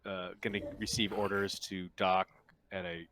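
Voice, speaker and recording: A man's voice: low-pitched, flat delivery, moderate pace, pushed and bright, slight background noise. A man talking casually as the game master of a tabletop roleplaying game.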